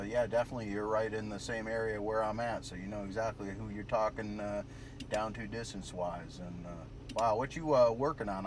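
Low-voiced American man, noisy car recording. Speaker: amateur radio operator. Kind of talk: casual radio chat. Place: inside a parked car at roadside with windows down.

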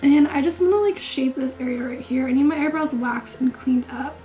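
A young woman in her twenties chatting casually in a beauty vlog, a little raspy after being sick, clearing her throat now and then and dropping to whispers while she does her makeup. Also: sits high, fairly quick, mellow, faint music behind. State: recovering from illness, clearing throat. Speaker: beauty vlogger. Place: small bedroom, indoors. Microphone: camera mic, close framing.

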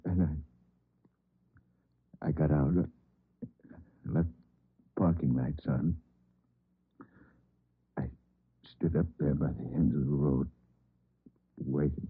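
Distraught father, slow, halting, husky, grief-stricken, old-time radio drama, narrow tinny sound. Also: extremely low pitch, fairly even intonation, no room sound, exaggerated voice. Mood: dazed grief. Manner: halting, repeating himself, dazed. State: grief-stricken, near collapse. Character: distraught, broken father of a kidnapped girl.

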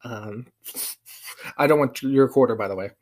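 A man's voice: mid-range voice, normal speed, a little mellow. A young American man giving casual, slangy commentary in an amused manner. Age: young adult. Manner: amused, slangy, chuckling through remarks. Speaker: prank-calling vlogger. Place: small living room, indoors. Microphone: webcam at arm's length.

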